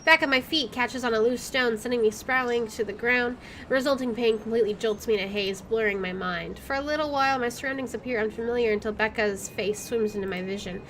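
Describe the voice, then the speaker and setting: High-voiced woman reading a game aloud. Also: fairly quick, hardly any pauses, a little hiss or hum, low-quality recording. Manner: dramatic reading, reacting with exclamations. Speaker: gamer doing a playthrough. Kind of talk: reading visual novel text aloud with casual commentary. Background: soft game music.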